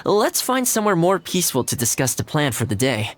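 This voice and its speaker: An actor playing a friendly, easygoing young male retainer in a video game, talking in a relaxed, chatty way. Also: a little high, fairly quick, a little hiss or hum. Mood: cheerful. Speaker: game character.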